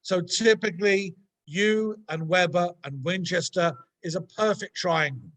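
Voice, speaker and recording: An Englishman from a university's international office, giving a measured, emphatic presentation over a video call. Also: high voice, fairly bright tone. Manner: measured, emphatic, list-like delivery. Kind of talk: online workshop presentation.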